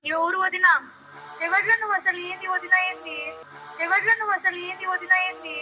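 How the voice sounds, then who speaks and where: A woman's voice: very high-pitched, fairly even intonation, a little fast, over loud music. A young woman, scolding bluntly and confrontationally on a muffled phone call. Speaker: older sister on the phone.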